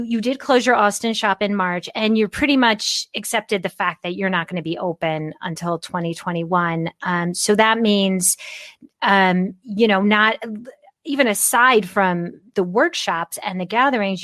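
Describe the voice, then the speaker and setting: A woman in her fifties hosts a relaxed interview, warmly encouraging her guest and asking long, winding questions. Her voice sits in an ordinary middle range, recorded close and dry. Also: mid-range voice, no room sound. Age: 50s. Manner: warm and encouraging, long rambling questions, laughs often. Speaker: podcast host. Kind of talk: casual interview conversation.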